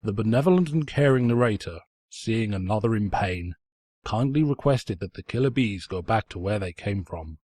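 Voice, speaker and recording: Husky, low-voiced man narrating with mock pomposity. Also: animated, moderate pace, a little mellow, dry recording, studio quality. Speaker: narrator, fan fiction reader. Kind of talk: theatrical scripted story narration.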